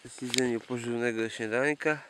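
A man talking casually and jokingly in a deadpan way, as an expedition cyclist filming a travel vlog outdoors. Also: medium pitch, normal speed. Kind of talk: casual, tongue-in-cheek travel vlog talk. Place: outdoors on a frozen lake in wind. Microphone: camera microphone. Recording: noisy windy outdoor camera audio.

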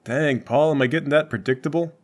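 A man's voice: fairly high pitch, fast talker. A man playing a tabletop game, bantering casually and improvising comedy.

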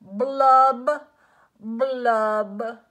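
A woman voicing a gloomy, grumpy male fish in a storybook read-aloud, with a slow, mopey, droning delivery. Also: on the high side, fairly even intonation, fairly bright tone. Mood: glum.

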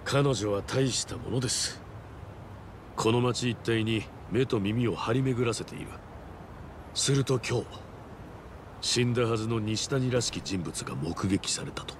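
An actor playing a polished, composed fixer in a game, speaking politely with dry wit. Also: male voice, mid-range voice, slight background noise, lightly acted. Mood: courteous. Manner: polite, measured, wry. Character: polished, composed male fixer, dryly courteous.

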